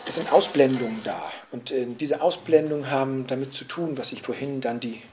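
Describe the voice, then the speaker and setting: A man's voice: mid-range voice, brisk. A man being interviewed, talking analytically but conversationally, often adding little tag questions, with faint background noise. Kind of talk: informal analytical talk.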